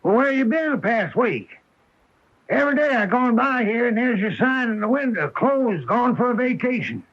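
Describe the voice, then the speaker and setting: A man's voice: very high voice, lively intonation, moderate pace, a bit bright, exaggerated voice. An actor playing a blunt, nosy, suspicious small-town man with a folksy manner in a radio mystery drama. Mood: accusing, nagging. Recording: dull lo-fi 1980 radio broadcast.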